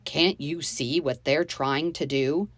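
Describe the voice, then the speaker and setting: A protective father in a storybook, played by a woman narrator. He is stern and intense, a parent quick to take his child's side. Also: low voice, fairly even intonation, no room sound. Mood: angry. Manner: forceful with others, soothing with his child.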